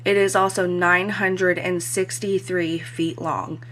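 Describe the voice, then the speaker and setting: A woman talking casually as a cruise vlogger, her voice a bit hoarse from crying the day before, with lots of "um" fillers. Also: fairly low pitch, little pitch movement, takes it slowly, a bit bright, a little hiss or hum. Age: adult. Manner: chatty, frequent "um" fillers. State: slightly hoarse voice after crying. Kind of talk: casual review vlog.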